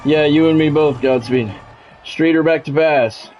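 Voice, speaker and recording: A man's voice: a little high, measured pace, muffled recording, soft background music. A man doing sports play-by-play of a video game on a stream, mixed with casual chat with viewers.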